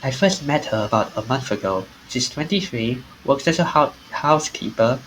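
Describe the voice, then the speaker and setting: A man's voice: medium pitch, lively intonation, normal speed, fairly bright tone, slight background noise, lightly acted, low-quality recording. A man voicing a groggy, puzzled male office worker in a subdued, reflective visual novel reading.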